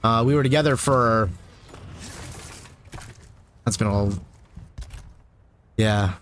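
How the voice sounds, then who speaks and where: A man in his thirties streaming a video game live, chatting with viewers and mocking himself in an animated way while reacting loudly to enemies. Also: fairly low pitch, slow pace, slight background noise. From United States. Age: 30s.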